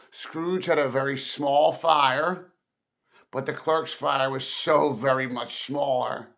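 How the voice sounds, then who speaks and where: Slow, bright-voiced male storybook narrator. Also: medium pitch. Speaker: audiobook narrator.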